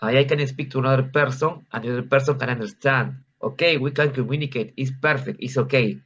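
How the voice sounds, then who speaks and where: A man's voice: mid-range voice, fast, a bit bright. Peruvian Spanish-accented man, lo-fi sound. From Peru. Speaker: club member. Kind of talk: casual conversation practice.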